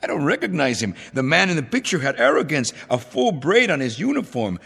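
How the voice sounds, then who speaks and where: An actor playing a vengeful, proud revolutionary leader in a radio drama, theatrical, deliberate and menacing. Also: male voice, fairly high pitch, big pitch swings, creaky, a bit bright, husky, exaggerated voice. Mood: gloating menace. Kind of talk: old-time radio drama acting. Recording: long hall reverb, music underneath. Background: dramatic music.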